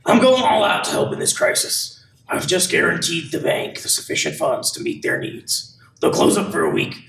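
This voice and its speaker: A man in a radio play acting a scheming, menacing old banker with a creaky voice and false concern. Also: on the high side, huge pitch swings, moderate pace, a bit bright, recorded from a distance, full character voice. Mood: smug, oily. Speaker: actor in a youth group radio play. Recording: echoey room, off mic, faint noise.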